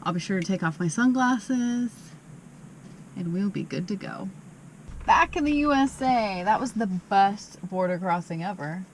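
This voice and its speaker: A woman's voice: medium pitch, lively intonation, measured pace, a little hiss or hum, rough recording. Giggly chatty woman vlogger. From United States. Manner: chatty, giggly, self-deprecating. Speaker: van life vlogger. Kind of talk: casual travel vlog chat.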